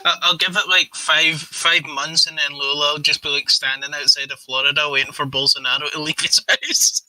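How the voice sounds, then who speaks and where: A man's voice: fairly high pitch, little pitch movement, fairly quick, sharp tone, no room sound, rough recording. A man in casual livestream conversation, opinionated and often repeating his phrases as he goes. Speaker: co-talker on a livestream. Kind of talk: casual political chat.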